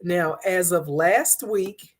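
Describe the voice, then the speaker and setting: A woman hosting an online commentary stream, hoarse from a cold, talking in a conversational way with frequent pauses and fillers. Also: on the low side. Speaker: host of an online commentary show. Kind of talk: casual commentary to viewers.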